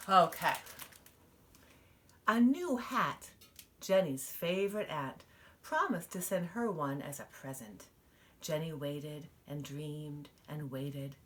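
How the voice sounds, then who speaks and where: Female storybook reader, expressive and lively in pitch, taking her time over the words. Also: takes it slowly. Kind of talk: children's picture book read-aloud.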